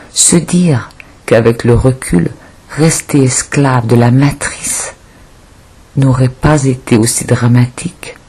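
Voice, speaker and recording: Slow, very husky woman reciting poetry. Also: very deep voice, darkish tone. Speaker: volunteer audiobook reader.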